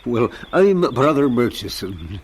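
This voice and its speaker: Folksy preacher, husky and expressive. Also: male voice, medium pitch, slow, full character voice. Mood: genial, devout. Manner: folksy, earnest, pious. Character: devout, kindly visiting preacher, grown man. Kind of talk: radio drama acting.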